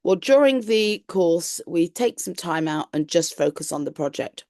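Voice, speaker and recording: A woman tutor gives an online introduction to a course, her voice croaky as she recovers from flu, explaining things in a friendly, reassuring way. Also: on the low side, animated, measured pace, a little mellow, no room sound, clean studio sound. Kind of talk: online lesson talk.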